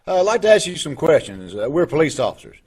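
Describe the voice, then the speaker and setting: An actor playing a courteous, slightly halting police officer in an old-time radio police drama. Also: male voice, fairly high pitch, very animated, a little mellow, husky. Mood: polite. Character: polite, hesitant male police officer, the sergeant's partner.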